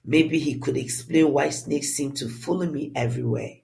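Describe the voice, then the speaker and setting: A narrator telling a scripted first-person story in a dramatic, deliberate and expressive way. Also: mid-range voice, vocal fry.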